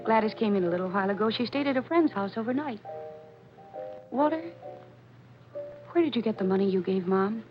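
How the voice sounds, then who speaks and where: A woman's voice: medium pitch, expressive, a little fast, long pauses, lightly acted. An actress playing a kind, anxious young woman with an earnest, placating manner in a 1940s radio drama. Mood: kind, gentle. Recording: muffled old radio recording, organ music behind.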